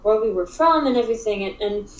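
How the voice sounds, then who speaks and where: A young woman from Africa talks as an interview guest, her sentences stopping and restarting around frequent fillers. Her pitch sits in an ordinary middle range. The recording carries a noticeable room echo. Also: expressive. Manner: halting, filler-heavy speech with frequent restarts. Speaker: interview guest, digital art expert. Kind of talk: casual conversational interview. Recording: roomy echo.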